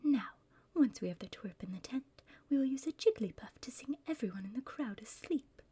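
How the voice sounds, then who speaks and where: A scheming cartoon villainess played by a woman in a whispered ASMR roleplay. Her voice is higher-pitched and extremely breathy, with an impatient, commanding edge as she lays down orders like a conspirator. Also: lively intonation, brisk, dark tone, no room sound, heavily acted. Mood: scheming, instructing.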